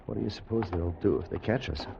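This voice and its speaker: A man acting a weary, fearful time traveler in 1950s radio drama, narrating his ordeal in a grave, measured, voice. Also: fairly low pitch, vocal fry, background noise. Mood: weary, uneasy. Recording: muffled old radio broadcast with music.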